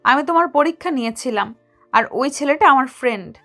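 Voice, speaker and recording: A woman acts out a shy young doctor who is newly married and in love. Her voice is very high, with a coy, reserved and gently scolding way. Soft music runs faintly behind this romantic story dialogue. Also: expressive, a little fast, full character voice. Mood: tender, confiding.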